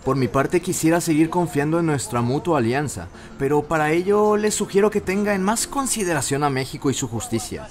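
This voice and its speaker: An actor playing a courteous but firm middle-aged Mexican president in an audio drama, speaking formally. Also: male voice, fairly high pitch, lively intonation, brisk, hardly any pauses, close to an everyday voice. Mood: firm, guarded. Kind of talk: scripted audio drama dialogue.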